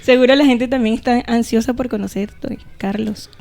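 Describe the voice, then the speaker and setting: A woman's voice: a little high, steady tone, normal speed, vocal fry, a little mellow. A woman with a Venezuelan Caribbean accent, co-hosting a conversational podcast. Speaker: podcast co-host. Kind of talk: casual podcast chat with scripted intro.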